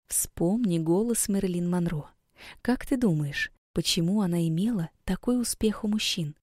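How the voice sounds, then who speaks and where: Soft-spoken woman coaching her listener. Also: fairly low pitch, dry recording, clean studio sound. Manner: soft, coaxing, addresses listener directly. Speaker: women's school coach. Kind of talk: scripted instructional narration.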